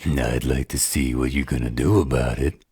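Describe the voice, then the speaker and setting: A man voicing a gruff, resentful, defiant werewolf in a roleplay audio drama, gravelly, raspy and blunt. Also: very deep voice, fairly even intonation, normal speed, darkish tone, no room sound, studio quality, exaggerated voice.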